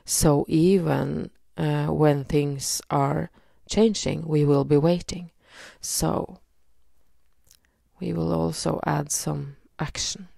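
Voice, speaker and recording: A woman from Norway with a Norwegian accent, leading a guided energy healing session in a slow, soothing way with many pauses and fillers. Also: low-pitched, fairly even intonation, creaky, mellow, no echo, studio quality. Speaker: energy healer.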